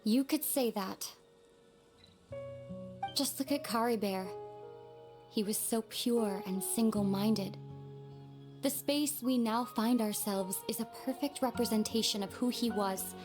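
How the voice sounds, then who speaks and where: A woman playing a grave, sorrowful young sister in a video game, speaking in a measured, solemn way hardened by long war. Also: a little high, close to an everyday voice. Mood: grave, bitter. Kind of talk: dramatic video game voice acting. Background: game music.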